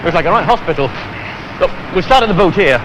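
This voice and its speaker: A man's voice: sits high, expressive, fast. An actor playing an exasperated British male car owner, griping with indignant sarcasm in a 1970s training film. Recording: noisy outdoor 1970s film sound.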